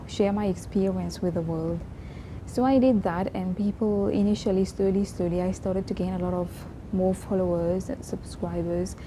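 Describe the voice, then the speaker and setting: A woman in her twenties from Mauritius, whose first language is Creole, talking earnestly and reflectively in a personal vlog, pausing and restarting often. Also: fairly low pitch, background noise. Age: 20s. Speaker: vlogger. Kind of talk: casual personal vlog talk.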